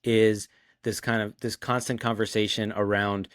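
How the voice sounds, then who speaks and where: A man's voice: lowish voice, little pitch movement, normal speed, clear, resonant tone, dry recording, clean studio sound. A man hosting a casual sports discussion in a conversational way, often posing questions. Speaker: podcast host.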